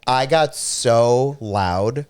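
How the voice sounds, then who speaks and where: A man's voice: medium pitch, drawn-out words, darkish tone, smooth. A man co-hosting a livestream, chatting casually with lots of fillers and an upbeat manner. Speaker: livestream co-host.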